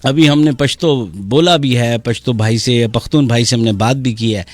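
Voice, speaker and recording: A man's voice: mid-range voice, soft background music. A man hosting a live radio show, chatting casually and playfully as he takes calls and messages. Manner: playful, chatty, breaks into bits of song. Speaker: radio host taking calls and reading messages. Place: radio studio.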